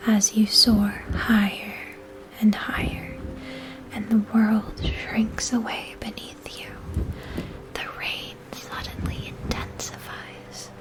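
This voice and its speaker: Female ASMR narrator for fantasy roleplay, breathy whisper, soothing and even, with little melodic movement. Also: medium pitch. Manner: gentle, unhurried, soothing.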